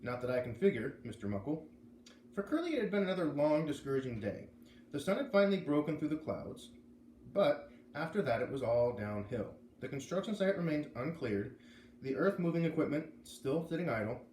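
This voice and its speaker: A man narrates a storybook aloud with lively, expressive pitch movement. The room is clearly echoey, with a little noise behind him. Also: brisk. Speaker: storybook reader.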